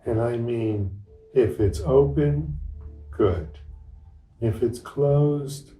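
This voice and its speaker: A man leading a guided meditation as a teacher, speaking gently and without hurry in short phrases with long pauses. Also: mid-range voice, faint music behind. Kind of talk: guided meditation, unscripted and instructional. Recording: roomy echo, distant microphone.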